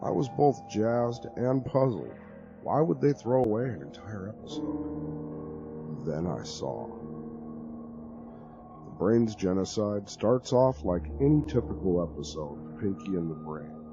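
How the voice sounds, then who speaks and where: A man giving a dramatic reading of a horror story, telling it in an expressive, conversational way. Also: low-pitched, unhurried, muffled recording, over music. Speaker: narrator.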